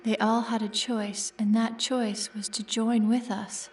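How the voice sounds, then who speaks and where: A woman playing a calm, polite synthetic android in a sci-fi audio drama, formal and measured. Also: on the high side, far from the mic, close to an everyday voice. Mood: calm, guarded. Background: music.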